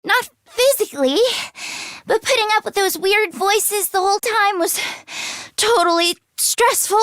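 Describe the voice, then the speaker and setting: A woman voice acting an earnest, anxious teenage schoolgirl in an English video game, with expressive, emotionally heightened delivery. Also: extremely high pitch, measured pace, dry recording. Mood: queasy.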